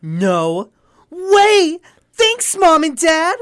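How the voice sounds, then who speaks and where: A man's voice: very high voice, huge pitch swings, drawn-out words, fairly bright tone, no room sound, studio quality, full character voice. A man playing an eager, geeky thirteen-year-old boy, a comic book fanatic, in an audio drama. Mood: excited. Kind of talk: hobby audio drama acting.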